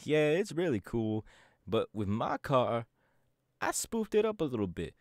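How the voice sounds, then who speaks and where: A man's voice: mid-range voice, big pitch swings, a little slow, no room sound, clean studio sound. A man voicing a cocky young male DJ who leads a gang, boasting and talking straight to the listener in an audio roleplay. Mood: boastful. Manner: boastful, swaggering, talks to the listener. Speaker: Team Star leader in a roleplay.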